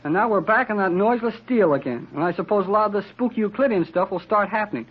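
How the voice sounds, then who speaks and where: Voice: mid-range voice, quick pace, breathy edge, close to an everyday voice. An actor playing a brash, impatient boy with slangy, exclamatory talk in an old-time radio serial. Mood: grumbling, impatient. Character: brash, impatient, plain-spoken adventurous boy. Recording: muffled vintage radio recording.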